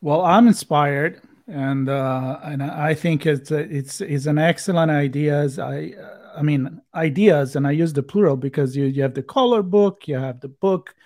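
A man co-hosting a conversational interview in a halting, thinking-aloud way. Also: a little high, a little mellow, no echo, studio quality. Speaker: interview co-host.

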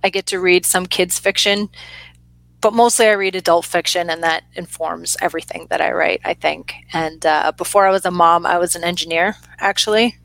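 Low-voiced woman author, dry sound. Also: steady tone, normal speed, creaky, fairly bright tone, no room sound, low-quality recording. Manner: friendly, easygoing, with fillers. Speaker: author guest. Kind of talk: casual interview answers. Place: home library room. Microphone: video call.

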